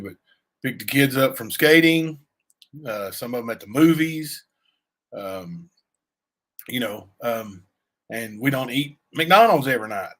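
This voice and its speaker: A man with a raspy voice, chatting loosely on a livestream and answering viewers, full of fillers and asides. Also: mid-range voice, fairly bright tone. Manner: rambling, many fillers and asides to chat. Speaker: livestream cohost; youth basketball coach. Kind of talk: casual livestream chat.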